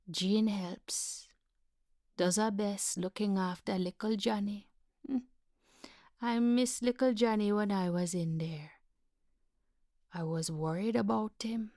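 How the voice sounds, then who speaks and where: A woman playing a frightened, confused, worn-down mother in a dramatic monologue, hushed and halting. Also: medium pitch, dry recording, studio quality. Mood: fragile, worried. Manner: halting, hesitant, repeating herself, wandering.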